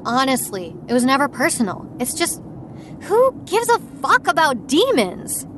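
A woman's voice: sits high, wildly swooping pitch, moderate pace, a bit bright, slight background noise, exaggerated voice. A woman playing a cocky, defiant young streamer who hunts demons in an audio drama, brash and scornful. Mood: proud, callous.

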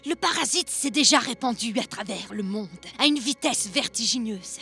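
Woman acting as male priest, sinister preacher, ominous warnings, theatrical, persuasive, dark folk tale, background music. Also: fairly high pitch, lively intonation, normal speed, vocal fry, full character voice. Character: sinister preaching messenger priest, grave man warning of plague.

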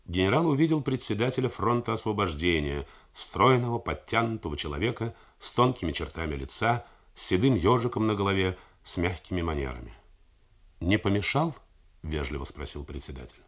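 A middle-aged man narrating a novel for radio in a measured, expressive manner. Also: deep voice. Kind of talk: 1980s radio novel reading.

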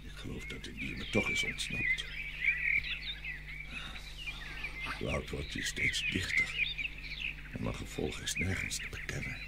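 A man plays a grand, good-natured tsar in a fairy-tale audio play. The voice is low and swings dramatically up and down, full of exclamation. Clear birdsong and outdoor ambience sit behind it. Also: wildly swooping pitch, moderate pace, heavy background noise, exaggerated voice. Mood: puzzled. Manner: theatrical, exclaiming.

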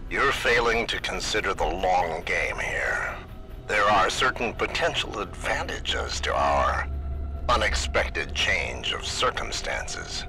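A man playing a calm, calculating mission boss in a sci-fi audio drama, measured and authoritative. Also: deep voice, music in the background.

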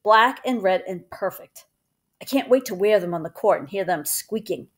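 A woman's voice: medium pitch, expressive, normal speed. A woman reads a children's book aloud in a conversational way, as a teacher would. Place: small room at home.

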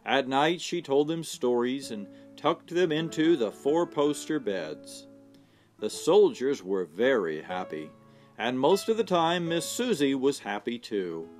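A man reads a children's picture book aloud at a slow pace. His pitch rises and falls expressively, and soft guitar music plays behind him. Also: big pitch swings. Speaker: storyteller. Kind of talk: children's storybook read-aloud.

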